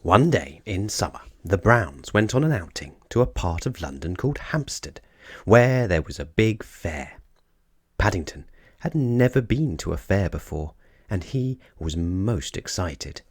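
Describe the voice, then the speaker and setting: A man narrating a children's storybook as an expressive storyteller. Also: low voice, measured pace, slightly rough, dry recording, studio quality.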